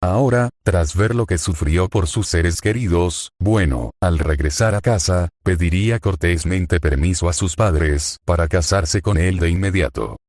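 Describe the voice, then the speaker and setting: A man with a low, deep voice and a Spanish accent from Spain reads a fan story aloud. His delivery is steady and even, and the recording is dry and close, with no room sound around him. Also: a little slow, few pauses, no room sound. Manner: steady, even-paced reading of narration and quotes. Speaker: fanfiction reader.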